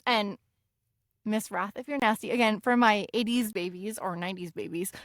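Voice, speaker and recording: A woman's voice: mid-range voice, fairly even intonation, normal speed, a bit bright, no echo, studio quality. A woman from Finland co-hosting a casual podcast, playful and giggly, swearing freely as she chats. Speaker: podcast co-host. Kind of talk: casual chat.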